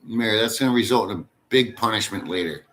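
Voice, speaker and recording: A man's voice: lowish voice, fast, a bit bright, a little hiss or hum. Crude, foul-mouthed male livestreamer. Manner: crude, profane and rambling. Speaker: livestream host reading chat. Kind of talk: casual livestream chat.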